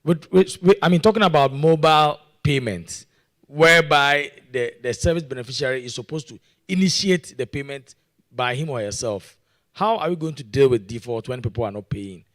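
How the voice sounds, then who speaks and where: A man from Ghana asking a question from the floor in a conference meeting room. He works through his point earnestly, circling back to words as he goes. His voice sits at an ordinary middle pitch. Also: moderate pace, a bit bright. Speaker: audience questioner.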